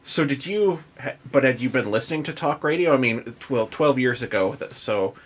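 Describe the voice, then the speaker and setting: A man's voice: mid-range voice, animated, moderate pace. An American man hosting a podcast, chatting casually in a loose, rambling, informal way. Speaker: podcast host. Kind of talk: casual interview chat.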